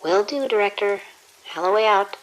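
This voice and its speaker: Woman as a harried, brisk emergency room nurse. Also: medium pitch, close to an everyday voice, low-quality recording. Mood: wry, businesslike. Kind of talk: audio drama acting.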